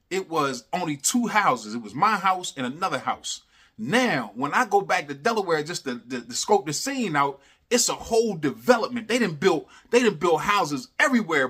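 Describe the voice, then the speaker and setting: A man casually telling a funny personal story in animated, slangy talk, repeating phrases for emphasis and constantly checking in with the listener. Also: fairly high pitch. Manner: animated, slangy, repeats phrases for emphasis, often adds "you know what I'm saying". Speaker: storyteller, host of a story series.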